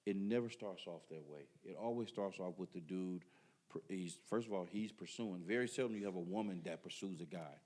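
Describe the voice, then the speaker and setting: Low-voiced man arguing emphatically. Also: fairly quick, a little mellow. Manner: emphatic, repeats words when cut off. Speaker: podcast guest. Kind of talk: casual debate.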